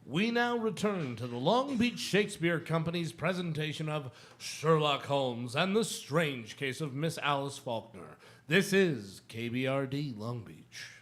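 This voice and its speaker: A man's voice: fairly high pitch, expressive, unhurried, fairly bright tone. A man making scripted announcements as a radio broadcast host.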